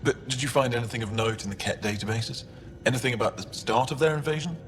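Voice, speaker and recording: A man playing a gentle, earnest alien record keeper in a video game, speaking thoughtfully and with some hesitation. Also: mid-range voice, fast talker, faint music behind, lightly acted. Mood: troubled. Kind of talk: naturalistic video game acting.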